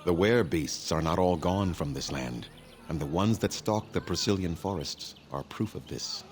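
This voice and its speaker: A deep-voiced elven keeper of ancient lore for a fantasy game. He is weary and haughty, choosing formal words with measured gravity. Clear background ambience sits behind the voice. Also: expressive, a little hiss or hum. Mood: grave. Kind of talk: fantasy video game dialogue acting.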